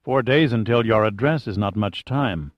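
A man voicing a calm, compassionate government envoy in an audiobook novel, quiet, measured and diplomatic. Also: on the low side, dry recording, muffled recording, natural voice. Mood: resigned, quiet.

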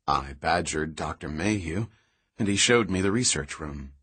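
A man's voice: extremely low pitch, expressive, unhurried, fairly bright tone, breathy edge, no echo, full character voice. A man voicing a curious, forthright female marine biologist in an audiobook, asking plain, direct questions. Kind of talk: audiobook dialogue reading.

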